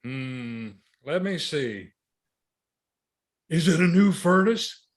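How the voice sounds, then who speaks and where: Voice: medium pitch, expressive, slow pace. A performer playing an irascible middle-aged father in a readers theatre comedy, blustering and sputtering mock curses. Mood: grumbling, distracted.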